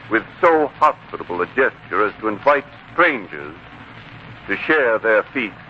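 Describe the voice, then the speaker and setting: An actor playing a gracious, generous man at a biblical wedding feast, formal and ceremonious, in 1940s radio style. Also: a little high. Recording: muffled, noisy 1940s radio broadcast.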